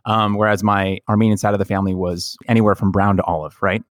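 A man hosting a podcast, telling personal stories in an earnest, reflective, halting way. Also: fairly low pitch, little pitch movement, no echo, clean studio sound. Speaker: podcast host. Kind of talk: personal storytelling in conversation.

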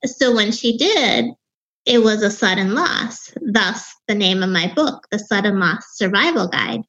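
A woman in her fifties with a Wisconsin accent and a young-sounding voice, telling her own story as an interview guest in a reflective way, with halting restarts. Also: mid-range voice, expressive, a bit bright, lo-fi sound. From Wisconsin, USA. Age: 50s. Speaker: author and grief guest.

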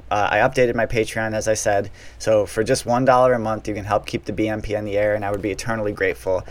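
A man hosting a solo podcast, talking casually and sounding worn out as he wraps up. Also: fairly low pitch. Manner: casual, rambling, tired. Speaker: podcast host.

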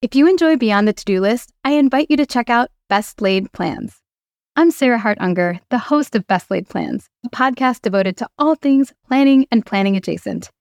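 A woman with a fairly high voice reading a scripted podcast promo in a smooth, even delivery. The recording is dry and close, with no room sound. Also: normal speed, dry recording. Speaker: podcast host, physician.

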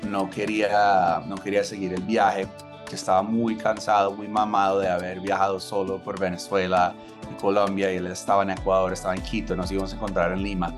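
A man from the United States speaking Spanish with an American accent, telling his life story in a halting way with many restarts. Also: sits low, flat delivery. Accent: American English. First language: English. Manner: halting, restarts words, chuckles at himself. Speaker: entrepreneur interviewee. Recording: guitar music underneath.

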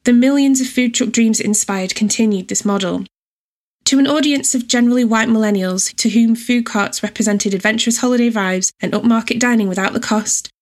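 A woman reads a scripted personal essay in a measured, descriptive storytelling manner. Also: mid-range voice. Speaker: writer and editor reading own essay.

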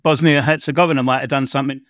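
A man's voice: medium pitch, steady tone, racing, a bit bright, no echo, clean studio sound. A man from England hosting a podcast interview, asking long rambling questions and repeating words as he frames them. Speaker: podcast host. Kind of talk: conversational interview.

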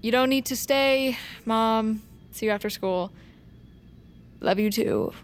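A woman playing a guarded, sarcastic blind teenage girl in a fiction podcast, with a deadpan delivery. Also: on the high side, slow pace, slight background noise. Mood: dry, sarcastic.

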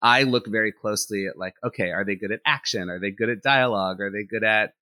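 Bright-voiced talkative man. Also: lowish voice, quick pace, no echo. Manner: talkative, thinks out loud, frequent "like" fillers. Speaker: animator and director. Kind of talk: casual interview conversation.

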